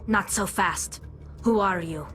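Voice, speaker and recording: A woman's voice: medium pitch, slow, fairly bright tone, soft background music. An actress playing a guarded, defiant young alien woman on the run in a video game, clipped and suspicious. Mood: angry, wary.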